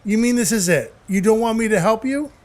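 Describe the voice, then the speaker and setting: A man's voice: high-pitched, brisk, natural voice. A man playing a brash, wisecracking dwarf who is Santa's helper, narrating and acting in an audio play with blunt, wry phrasing. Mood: mock pleading. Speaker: narrator and lead character.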